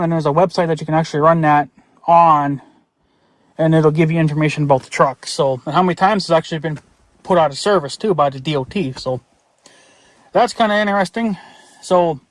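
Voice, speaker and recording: Higher-pitched American man rambling casually. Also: no echo. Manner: rambling, blunt, mildly profane. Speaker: YouTube reviewer and vlogger. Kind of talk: casual rambling vlog talk.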